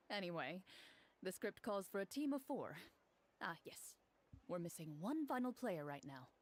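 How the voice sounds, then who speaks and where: A woman voicing a composed, serious young game master in a video game, speaking in a measured, courteous and formal way. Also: on the low side, big pitch swings, slight background noise. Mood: matter-of-fact. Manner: measured, formal, matter-of-fact. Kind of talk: animated video game voice acting. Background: game music.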